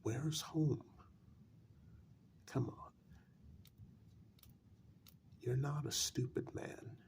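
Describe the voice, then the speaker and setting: A man playing a patient but blunt vampire envoy in an ASMR role-play, speaking softly and deliberately with a gravelly, breathy voice and firm emphasis. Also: mid-range voice, a little hiss or hum. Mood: frank, pressing. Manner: slow, deliberate, with long pauses and firm emphasis. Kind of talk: soft-spoken ASMR role-play monologue.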